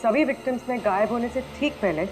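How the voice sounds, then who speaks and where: An actress playing a firm, businesslike police investigator with a direct manner in a TV crime drama. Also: female voice, a little high, fairly quick, music in the background, close to an everyday voice.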